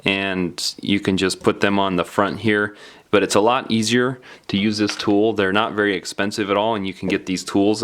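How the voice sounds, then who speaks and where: Low-voiced man giving casual how-to instructions. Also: a bit bright. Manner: informal, plain-spoken, explanatory. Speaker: DIY phone repair tutorial presenter. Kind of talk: casual step-by-step instructional narration.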